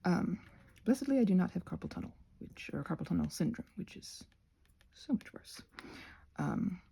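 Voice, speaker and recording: A woman gives a casual craft lesson in a mellow voice with a crackly edge. Her intonation moves up and down a great deal. She rambles playfully, breaking off her own sentences for little asides. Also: lowish voice, animated, moderate pace, gravelly, slightly rough. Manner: scattered, self-interrupting, trailing off into asides. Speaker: host of a craft tutorial channel. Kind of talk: rambling, informal hands-on craft tutorial.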